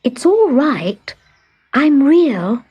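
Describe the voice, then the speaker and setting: Storybook fairy girl, played by a woman: small, kindly and earnest, high voice, eager delivery. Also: sing-song, very slow, mellow, lo-fi sound, exaggerated voice. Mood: bright, friendly. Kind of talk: children's storybook character voice.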